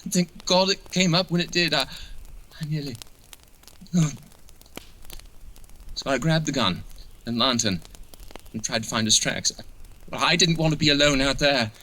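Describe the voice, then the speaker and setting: An actor playing a shaken young man lost in the wilderness, telling things haltingly in an audio horror drama. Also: a little high, sharp tone, rough recording. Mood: shaken, haunted. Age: young adult. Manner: halting, stammering, haunted.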